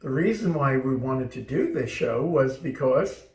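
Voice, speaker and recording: A man's voice: medium pitch, lively intonation, a little slow, darkish tone. A man from the United States, co-hosting a casual chat show, joking and telling stories in a reflective way. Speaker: co-host. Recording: roomy sound, distant mic.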